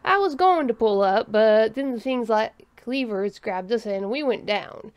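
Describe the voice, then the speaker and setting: A tired male explorer in a storybook tale, as a woman acts him out. He is casual, folksy and stubbornly defensive. The voice is high and animated. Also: measured pace. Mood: explaining.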